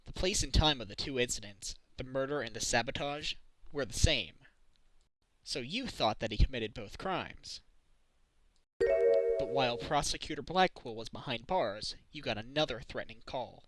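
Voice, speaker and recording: A man playing an earnest, determined young defense lawyer in a video game fandub, probing with deliberate, dramatic delivery. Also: mid-range voice, expressive, fairly bright tone, lightly acted, poor audio. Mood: deducing. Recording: amateur recording with music.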